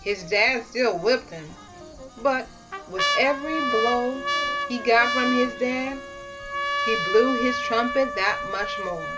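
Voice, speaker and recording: A woman reading a children's story aloud as host, expressive and dramatic, drawing out key words for emphasis. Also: a little high, low-quality recording. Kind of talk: read-aloud children's picture book narration.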